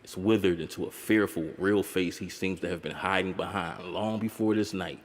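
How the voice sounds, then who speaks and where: A man's voice: sits low, fairly even intonation, moderate pace, in a large hall. A man narrating a film breakdown video essay in a wry, conversational way with blunt, profane asides.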